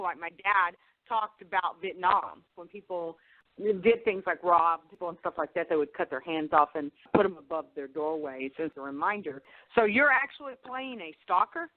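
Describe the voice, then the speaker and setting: A woman's voice: medium pitch, dry recording. Woman co-host on a phone-like line. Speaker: co-host interviewer. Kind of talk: casual chat interview.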